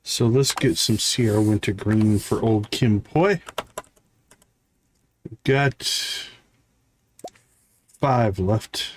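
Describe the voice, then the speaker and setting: A man's voice: fairly low pitch, extremely slow, pauses often, slight background noise. A man giving casual live gameplay commentary, thinking aloud and chatting with viewers as he plays. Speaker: live streamer playing a farming game.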